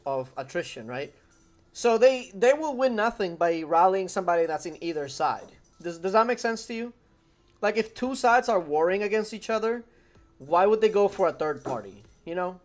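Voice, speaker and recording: A man's voice: high-pitched, lively intonation, moderate pace, faint music behind. A young man with a Spanish accent, his first language Spanish, chatting casually with viewers during a live game stream and often repeating phrases. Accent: Spanish-speaking. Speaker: gaming streamer. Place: home room at a desk.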